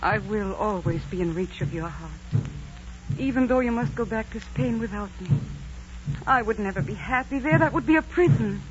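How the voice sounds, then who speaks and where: An actress playing a noble, steadfast young Aztec princess in earnest 1940s radio acting style. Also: female voice, mid-range voice, expressive, normal speed, lightly acted. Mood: tender, resolute. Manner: earnest, solemn, theatrical. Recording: muffled 1940s radio recording, faint noise.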